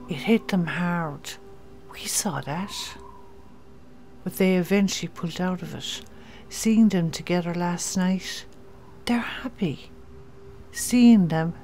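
Slow, low-voiced hopeful wife woman acting. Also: darkish tone, lightly acted. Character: hopeful gentle wife and mother among apocalypse survivors. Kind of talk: amateur comic-dub voice acting.

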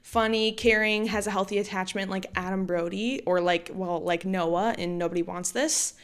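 A woman's voice: medium pitch, fairly even intonation, moderate pace, clear, resonant tone. A woman in her late twenties hosting a solo podcast, talking casually with lots of "like" fillers. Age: late 20s. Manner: chatty, frequent "like" fillers. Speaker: podcast host.

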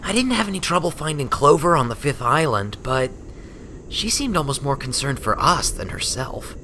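A man voicing a brash, tired young female pegasus adventurer in a storybook, telling her exploits in casual, animated speech. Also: a little high, moderate pace, slight background noise, voice pushed up. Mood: excited, stressed. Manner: animated, colloquial. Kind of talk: storybook character voice.

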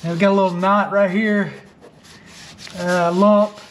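A man narrating his boat repair as he works, in a relaxed, plainspoken way with many "uh" fillers and restarts. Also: sits high, unhurried, a little hiss or hum. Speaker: boat refit vlogger. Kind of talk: casual how-to narration while working. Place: outdoors on a sailboat deck.

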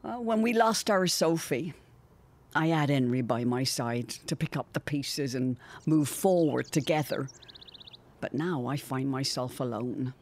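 A woman's voice: very deep voice, animated, measured pace, darkish tone, full character voice. A woman playing a grieving, plain-spoken widowed mother with a folksy, effusive manner in an audio drama. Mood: wistful, fretful.

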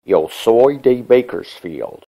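Larry, a woman doing a deep-voiced schoolboy. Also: very animated, very slow, gravelly, mellow, heavily acted. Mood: friendly. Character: schoolboy student Larry, young and friendly.

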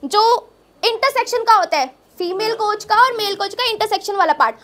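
A woman's voice: very high voice, animated, a little fast, fairly bright tone. A young woman doing conversational observational stand-up, repeating phrases for emphasis into a handheld mic. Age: young adult. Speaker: stand-up comedian. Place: small indoor open-mic stage. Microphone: handheld microphone.

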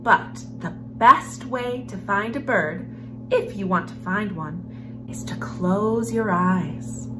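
A young woman hosting a children's story time, reading a picture book aloud in an expressive storyteller's manner. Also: medium pitch, slow, background noise. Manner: expressive, animated storyteller, with hushed passages. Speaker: host of a children's read-aloud series. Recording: music behind the voice. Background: background music.

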